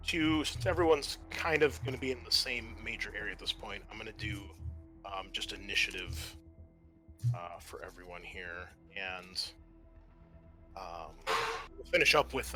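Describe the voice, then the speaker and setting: A man's voice: lowish voice, a little slow, pauses often, music in the background. A man running a tabletop role-playing game as game master, talking casually and swearing freely.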